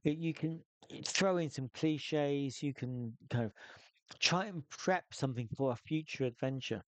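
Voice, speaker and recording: A man's voice: a little high, a little slow, dry recording. A man giving tips on solo tabletop play in a loose, thinking-aloud way, with frequent restarts. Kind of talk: casual spoken advice.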